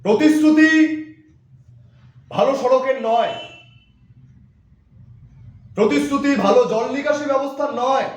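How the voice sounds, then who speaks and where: A man's voice: very high-pitched, unhurried, long pauses. A man playing a righteous, indignant opposition politician in a theatrical monologue, declaiming emphatically phrase by phrase to rally wronged voters. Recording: very echoey, reverberant room sound.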